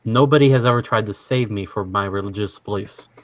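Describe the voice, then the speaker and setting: A young man from Washington state hosting a casual online chat, easygoing and quick to laugh as he reads quiz statements. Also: on the low side, dry recording. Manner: easygoing, laughs often, halting restarts. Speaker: stream host.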